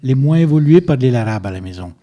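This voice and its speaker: An older man from Egypt speaks into a studio microphone in a very dark, mellow voice. He halts and repeats words as he searches for them, then presses his point firmly. He sits a little back from the mic at times. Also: mid-range voice, expressive, quick pace. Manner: hesitant, repeats words while searching, firm and insistent. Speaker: guest. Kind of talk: radio interview. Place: radio studio. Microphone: studio microphone, at times too far away.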